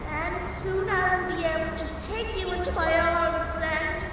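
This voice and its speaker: A woman plays a motherly goat-monster queen, gentle but fretful. Her very high voice moves slowly and formally, in a long reverb, in a dramatic comic dub. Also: steady tone, music in the background. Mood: anxious, soothing. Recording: reverberant hall with background noise.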